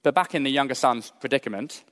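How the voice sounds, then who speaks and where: Man, British, preacher, measured earnest delivery, mid pitch, echoing church hall. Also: fairly bright tone. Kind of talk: sermon. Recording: long hall reverb.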